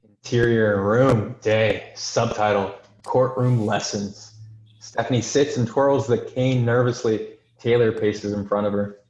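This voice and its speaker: A man's voice: lowish voice, steady tone, measured pace, darkish tone. A man narrating screenplay stage directions in scripted narration for a table read. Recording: echoey room, dull video call sound.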